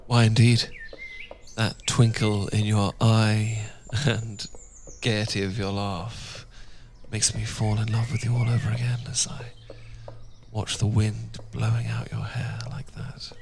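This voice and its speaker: A British man playing a tender, well-born Regency husband in a romantic ASMR roleplay, speaking softly and formally with affection, often dropping to a whisper. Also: on the low side, level tone, takes it slowly, creaky. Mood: tender, teasing. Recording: noisy, birdsong and outdoor sounds behind. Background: birdsong.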